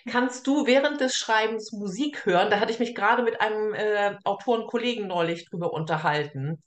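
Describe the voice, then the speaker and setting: A woman's voice: medium pitch, brisk. A woman hosting a casual, conversational interview, recorded with an echoey, roomy sound. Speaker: interview host.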